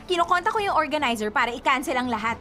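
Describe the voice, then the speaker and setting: A woman's voice: high voice, animated, rapid-fire, sharp tone, soft background music. A woman with a Filipino accent playing a superstitious, stubborn young bride-to-be in a radio drama, scolding and insistent. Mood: agitated, stubborn.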